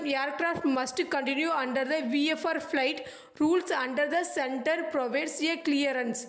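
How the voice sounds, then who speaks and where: Female lecturer, high pitch, clipped and halting read of study notes, roomy echo. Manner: halting, clipped reading with dropped words. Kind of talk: scripted educational narration.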